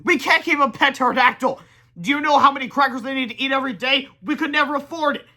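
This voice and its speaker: A man's voice: very high-pitched, quick pace, sharp tone, pitched above the performer's own voice, exaggerated voice. A man voicing a sly, bossy schoolboy who talks in a smug, teasing way.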